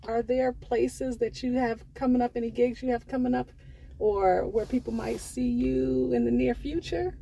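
A woman's voice: a little high, steady tone, moderate pace, vocal fry, warm tone, slight background noise, low-quality recording. A woman hosting a storytelling show, interviewing casually in a supportive manner. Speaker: radio storytelling show host.

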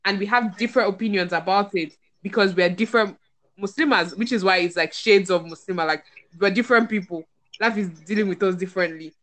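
A woman's voice: mid-range voice, a little fast, fairly bright tone. A woman hosting a podcast in a chatty, playful way. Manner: chatty, playful, laughs often. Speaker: podcast host. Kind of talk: casual chat.

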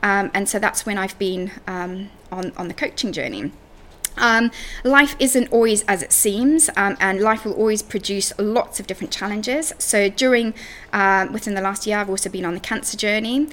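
A woman's voice: medium pitch, moderate pace, fairly bright tone. A woman from Zimbabwe speaking as a podcast guest and coach in a conversational interview.

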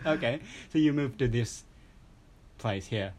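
A man's voice: medium pitch, measured pace, darkish tone. A man with a Japanese accent hosting a casual chat, often restarting his phrases. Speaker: host.

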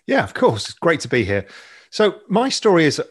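A man in his fifties with an English accent, a podcast guest telling his career story in a relaxed, rambling way with frequent ums. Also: on the high side, normal speed, slightly rough. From England. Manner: relaxed, anecdotal, many ums. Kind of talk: interview storytelling.

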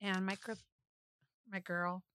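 A woman's voice: mid-range voice, little pitch movement, takes it slowly, very noisy. A middle-aged woman, a podcast guest, bantering casually and bluntly, swearing and repeating words for emphasis.

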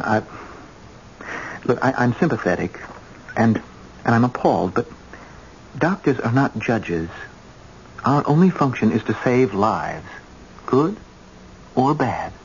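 Through a dull, faintly noisy vintage recording comes a young doctor. He is polite, earnest and halting, a principled man in a radio drama. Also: mid-range voice, lively intonation. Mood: uneasy, earnest. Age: young adult. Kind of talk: old-time radio drama acting.

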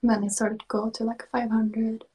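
A young woman from Sweden with a native Swedish ear and a shifting English-Australian accent talks in a mellow, husky tone. She laughs often and keeps slipping into soft whispers mid-sentence, as a guest in a casual interview. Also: on the high side, level tone, normal speed. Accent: mixed English and Australian. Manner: giggly, slips into soft whispers. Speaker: podcast guest, ASMR creator.

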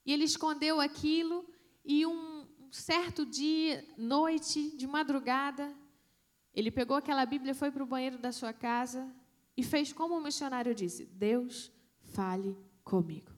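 A woman missionary, telling a testimony in a sermon, with dramatic pauses and hushed emphasis. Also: high voice. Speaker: missionary preacher.

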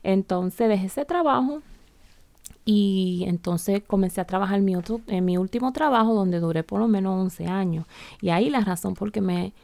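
A woman's voice: mid-range voice, normal speed, gravelly, dry recording. A middle-aged woman from the Bronx who speaks Spanish with a blended Dominican and Latin American accent, hosting a casual solo podcast and talking in a rambling way with many restarts. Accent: Dominican Spanish mixed with other Latin American accents. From United States (the Bronx). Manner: rambling, frequent restarts, softly spoken. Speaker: podcast host.

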